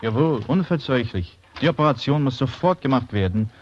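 An actor plays a stern, formal German surgeon held prisoner in a vintage radio drama. He speaks with grave authority in a mid-pitched voice on a dull, slightly noisy recording. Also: lively intonation, brisk, lightly acted. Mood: grave, urgent. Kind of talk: old-time radio drama acting.

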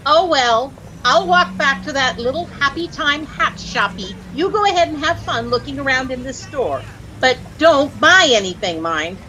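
An actress playing a breezy, bossy but affectionate wife in old-time radio comedy style. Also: female voice, high voice, lively intonation, moderate pace. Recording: noisy old radio recording.

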